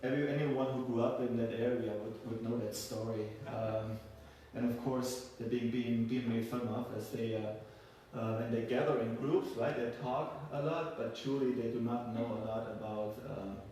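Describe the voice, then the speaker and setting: A man tells folk tales live in a halting way, repeating phrases as he goes. His voice sits at a middling pitch. It comes from some distance in a strongly reverberant room with faint noise. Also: steady tone, dark tone. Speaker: storyteller. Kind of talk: live folk tale telling to a group. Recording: echoey room, far from mic, faint noise.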